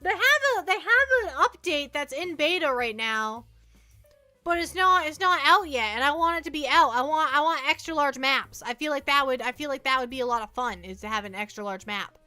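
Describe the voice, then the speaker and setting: Woman, livestream host, bright forceful tone, high pitch, casual chat, repetitive playful rambling. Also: lively intonation, brisk, soft background music. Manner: repeats phrases, playful rambling.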